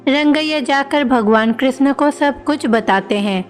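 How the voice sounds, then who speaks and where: A woman's voice: sits high, measured pace, big-room sound, faint music behind. A woman reading scripted narration for a moral bedtime story.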